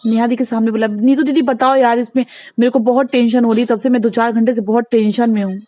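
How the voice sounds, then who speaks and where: A woman's voice: on the high side, fairly even intonation, fast talker, mellow, dry recording. A woman talking casually in a recorded phone conversation, giving advice insistently and repeating herself for emphasis.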